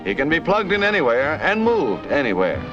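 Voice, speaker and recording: A man's voice: a little high, wildly swooping pitch, normal speed. An American man narrating a 1950s newsreel in a jaunty, emphatic, punning announcer style. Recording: dull vintage newsreel soundtrack, loud music behind. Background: loud music.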